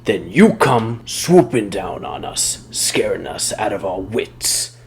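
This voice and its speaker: A man's voice: fairly low pitch, big pitch swings, slow pace, slightly rough, heavily acted. A man playing an indignant, mocking street crook in a comic dub.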